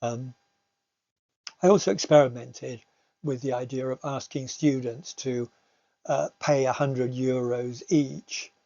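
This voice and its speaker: A man presents slides in an informal, explanatory way, like a teacher. His voice is husky and soft-edged, and he often pauses as he searches for words. The recording is dull and roomy. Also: medium pitch. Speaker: language teacher giving a talk. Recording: muffled, roomy home recording.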